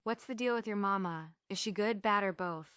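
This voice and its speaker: A woman's voice: medium pitch, normal speed, a bit bright, smooth, no room sound, studio quality, lightly acted. A woman playing a sarcastic, wounded, headstrong heroine with a dramatic delivery at a stage script table read. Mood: exasperated.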